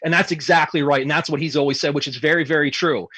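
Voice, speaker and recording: Fast, emphatic high-voiced man. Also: fairly even intonation, sharp tone, husky, no echo. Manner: emphatic, ranting. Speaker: military veteran panelist. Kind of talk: panel discussion.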